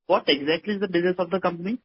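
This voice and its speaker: Male caller, Indian accent, high pitch, investor questioning on an earnings call with faint line noise. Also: rapid-fire. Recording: dull, noisy phone conference line.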